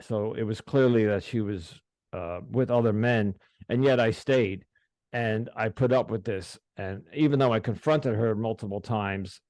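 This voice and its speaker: A middle-aged man hosting a podcast, speaking haltingly with many restarts as he opens up about his past. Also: fairly low pitch, a little mellow, dry recording. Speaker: podcast host. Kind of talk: casual interview, personal disclosure.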